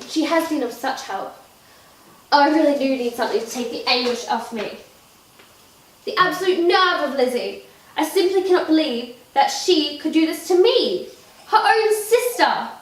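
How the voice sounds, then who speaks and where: A woman's voice: high-pitched, lively intonation, moderate pace. A woman playing a vain, giddy, petulant young Regency wife in a theatrical monologue, with affected, genteel, dramatic delivery. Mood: aggrieved. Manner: affected, dramatic, genteel old-fashioned phrasing. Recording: echoey room, distant mic, faint noise.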